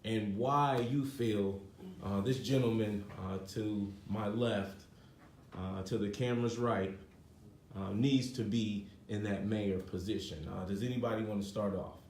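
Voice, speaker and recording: A man's voice: lowish voice, steady tone, a little slow, darkish tone. A man hosting a community event in a casual, slangy, joking way. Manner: informal, slangy, joking with the room. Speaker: host, community news presenter. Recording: echoey room, distant mic, faint noise.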